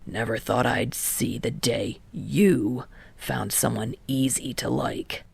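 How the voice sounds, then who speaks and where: Woman voicing a low, soft-spoken young male athlete. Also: takes it slowly, vocal fry, slightly rough, no room sound, heavily acted. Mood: straightforward. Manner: straightforward, understanding. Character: soft-spoken, blunt, probing young male volleyball player. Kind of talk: fan fiction audiobook dialogue.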